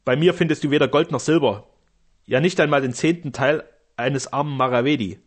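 A man doing a blunt, mocking brigand, breathy. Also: mid-range voice, a little fast, close to an everyday voice. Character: gruff scheming male brigand on the run.

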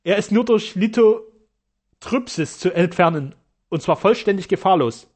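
Fearless German doctor, heated and formal, voiced a touch high by a male reader. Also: lively intonation, a little slow, darkish tone. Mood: firm.

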